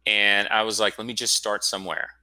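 A man's voice: on the low side, level tone, a little fast, pushed and bright, dry recording, rough recording. A man in his forties from Florida, a guest telling his story in a casual, rambling interview. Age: 40s. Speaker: guest, school founder.

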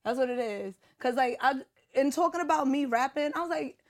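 A young woman with a New York accent, chatting as a guest in an interview, brash and quick to laugh and swear. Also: sits high. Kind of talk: casual interview chat.

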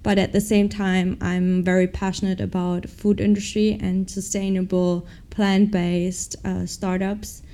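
A woman's voice: mid-range voice, level tone, measured pace, few pauses, dark tone. A young woman, a former athlete now studying business, answering interview questions in a hesitant, upbeat way with many restarts. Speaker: MBA student, former professional athlete.

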